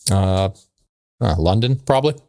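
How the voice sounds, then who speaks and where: A man's voice: sits low, lively intonation, normal speed, vocal fry, warm tone. A man co-hosting a casual podcast, bantering and reading letters aloud in a conversational way. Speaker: podcast co-host.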